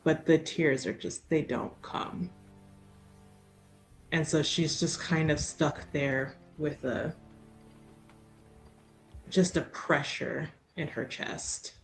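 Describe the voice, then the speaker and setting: A woman's voice: low voice, fairly even intonation, slow, long pauses, faint music behind. A woman playing in a tabletop roleplaying show, talking casually about her character and joking with the group. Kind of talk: casual, reflective and joking chat.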